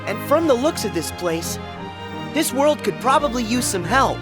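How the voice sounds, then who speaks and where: A man playing an upbeat, brave teenage boy hero in an animated video game, with an American accent and an earnest, casual manner. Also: very high voice, moderate pace, music in the background. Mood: thoughtful.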